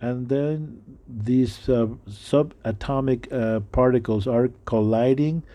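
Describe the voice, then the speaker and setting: A middle-aged man with a non-native English accent preaching a sermon, halting and full of fillers. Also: medium pitch, dark tone. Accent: non-native English speaker. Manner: halting, many fillers and restarts.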